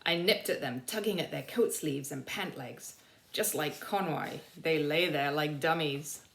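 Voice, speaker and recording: Low-voiced woman reading a storybook, echoey. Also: measured pace, gravelly. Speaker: teacher reading aloud. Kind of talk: expressive children's storybook narration.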